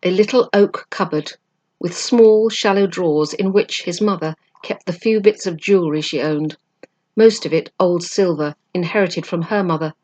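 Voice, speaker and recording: A woman reading a children's storybook aloud as narration, with an expressive, dramatic delivery. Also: lowish voice, moderate pace. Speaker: amateur book reader.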